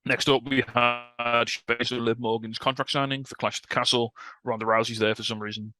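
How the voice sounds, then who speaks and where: Man, bright voice, podcast host. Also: medium pitch, flat delivery, a little fast, no room sound. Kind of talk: casual wrestling news chat.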